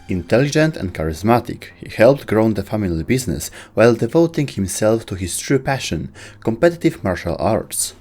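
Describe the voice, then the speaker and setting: A man's voice: on the low side, a little mellow. A man reading scripted narration for a video game lore explainer. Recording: music under the voice. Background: music.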